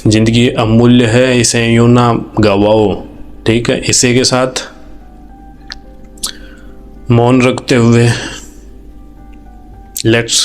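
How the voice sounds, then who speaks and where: A man's voice: medium pitch, little pitch movement, very slow, pauses often, soft background music. A man from India with an Indian accent, hosting an informal, reflective video commentary in an earnest, conversational way, mixing in English phrases. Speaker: video host.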